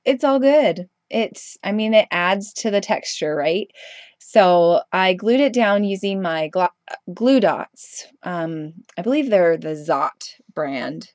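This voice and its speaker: A woman's voice: fairly low pitch, animated, slow, a little mellow, no room sound, clean studio sound. A young woman with a stuffy, congested voice from a cold, casually narrating a craft tutorial over her footage with rambling, chatty asides and lots of ums. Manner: chatty, rambling, frequent ums and self-corrections. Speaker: craft video host.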